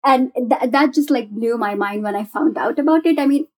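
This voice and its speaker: Woman, fairly high voice, gushing casual explainer, developer guest. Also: moderate pace, a little mellow. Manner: enthusiastic, gushing.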